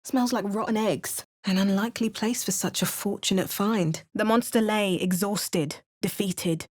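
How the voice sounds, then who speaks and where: A woman's voice: mid-range voice, unhurried, no room sound, lightly acted. A woman voicing a wry adventurer in a dry studio recording. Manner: dry, understated remarks and calm storybook narration. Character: female adventurer, wry and watchful. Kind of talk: video game voice acting. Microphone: close studio mic.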